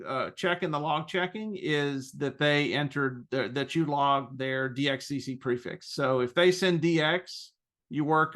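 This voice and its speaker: Halting, explanatory man with a higher-pitched voice. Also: a bit bright. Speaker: presenter answering questions in a club webinar. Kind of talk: informal webinar Q&A, reading and answering questions.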